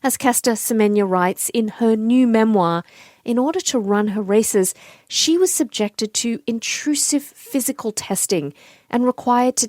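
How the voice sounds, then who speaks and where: A woman hosting a public radio interview from a studio, calm and measured, with clearly read introductions and gentle questions. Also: on the high side, no room sound.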